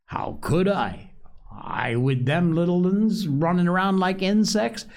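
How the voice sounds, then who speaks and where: Guy doing a whiny nervous kid with specs, high and breathless, stammery. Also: slow, vocal fry, exaggerated voice. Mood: indignant. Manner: fretful, stammering, breathless pleading. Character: anxious, whiny chubby schoolboy with glasses.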